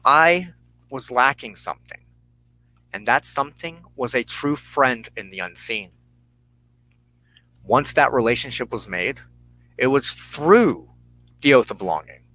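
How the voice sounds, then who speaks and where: A man hosting a religious broadcast, giving a solemn, measured sermon of personal testimony and teaching with emphatic phrasing and deliberate pauses. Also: medium pitch, expressive, pushed and bright, low-quality recording.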